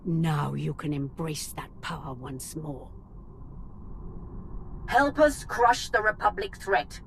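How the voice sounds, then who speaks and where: An actress playing a haughty, regal empress in a video game, with formal and persuasive speech. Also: female voice, sits low, animated, slow, creaky, slight background noise, exaggerated voice, poor audio. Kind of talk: video game cutscene acting.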